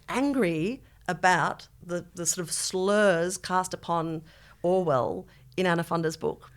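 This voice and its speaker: A middle-aged Australian woman with a voice a little lower than most women's. She chats casually and wryly as a podcast co-host, animated and fond of slipping into mock quotations. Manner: animated, wry, mimics others in mock quotes. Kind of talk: casual chat.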